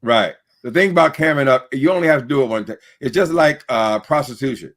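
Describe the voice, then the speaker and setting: A man's voice: medium pitch, fairly quick, a loud crowd. A man in his sixties hosting a casual panel chat, blunt and teasing with wisecracks. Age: 60s.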